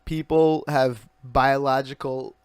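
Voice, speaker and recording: A man's voice: medium pitch, level tone, slow pace, clean tone, no room sound. A young man hosting a podcast, loose and joking, swearing casually as he tells his own story. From grew up in Singapore. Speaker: podcast host.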